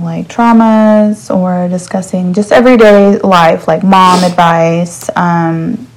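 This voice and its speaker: A woman's voice: on the low side, darkish tone, rough recording. Chatty West Texas woman co-hosting a podcast. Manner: chatty, list-making, with um and like fillers. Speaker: podcast co-host. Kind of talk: casual chat.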